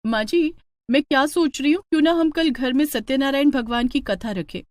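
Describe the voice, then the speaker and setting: A woman voicing a young, spirited new daughter-in-law in a cartoon story, expressive, exasperated and insistent. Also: high-pitched, moderate pace, no echo, voice pushed up, lightly acted. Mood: sweetly insistent.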